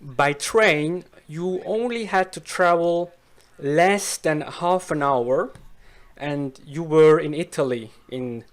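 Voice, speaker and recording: Slow-spoken Swiss man, careful English. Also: on the high side. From Switzerland. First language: Swiss German. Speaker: guest. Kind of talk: casual interview chat.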